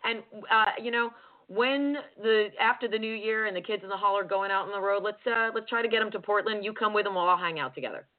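A warm, effusive woman co-hosts a radio talk show, thanking guests and laughing easily. Her voice sits in a middle pitch for a woman. The sound is thin and narrow, like a phone line. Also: fast talker. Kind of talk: casual radio chat.

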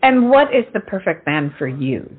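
A woman's voice: mid-range voice, sing-song, moderate pace, husky. A woman playing a flighty, gossipy young lady who gushes and teases in a stage comedy. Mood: gushing. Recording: tinny, narrow-band sound.

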